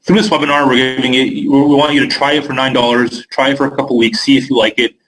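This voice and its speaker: A young Canadian man with a Canadian accent runs a live webinar and answers viewer questions in a loose, chatty way. His voice sits at a middle pitch, and his talk is full of fillers and quick restarts. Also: flat delivery, fairly bright tone, rough recording. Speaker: trading webinar host.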